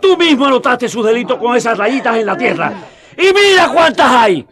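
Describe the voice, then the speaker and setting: An actor playing a self-righteous religious accuser in a radio drama, ranting in outrage. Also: male voice, sing-song, moderate pace, crowd noise. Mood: outraged. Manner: shrill, ranting.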